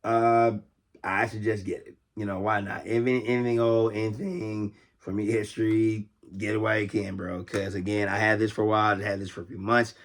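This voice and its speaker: A man's voice: on the low side, little pitch movement, fairly quick. Rambling American man, casual collector vlog. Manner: rambling, conversational, repeats himself. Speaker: toy collector and video host.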